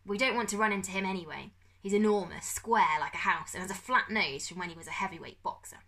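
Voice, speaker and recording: A woman reading an audiobook, voicing a young guide who whispers her explanations in a hushed, matter-of-fact way. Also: mid-range voice, quick pace. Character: quiet, knowing young girl guide, whispering. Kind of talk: audiobook character voice.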